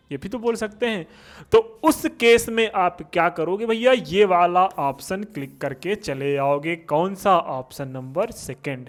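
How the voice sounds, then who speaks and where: A man's voice: high-pitched, a bit bright. A male teacher giving a physics lesson for exam students, asking questions and answering them himself.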